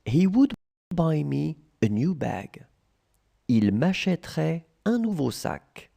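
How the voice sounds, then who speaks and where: A language tutor voice reading short phrases in slow dictation, repeating each one and splitting it into chunks. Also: mid-range voice, very animated, creaky, warm tone.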